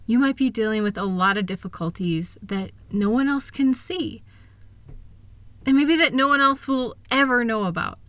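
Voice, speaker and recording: Bright, close-up voice of a reassuring wife, acted by a woman. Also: a little high, expressive, normal speed, no room sound. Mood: understanding, reassuring. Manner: gentle, earnest, encouraging. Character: kind, reassuring wife comforting her struggling partner. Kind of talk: intimate scripted roleplay audio, one-sided conversation.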